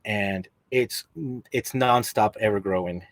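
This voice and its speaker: Peruvian accent guy chatting on a podcast, kinda low voice, really dry close sound. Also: takes it slowly, dry recording. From Peru. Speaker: podcast guest, collector. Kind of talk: casual chat about collecting. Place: basement room at home in a video call.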